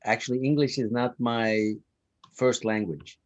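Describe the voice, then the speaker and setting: A man whose first language is Turkish, giving classroom feedback and lecturing in a relaxed way, thinking aloud with many fillers. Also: medium pitch, unhurried, no room sound, dull audio. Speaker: instructor.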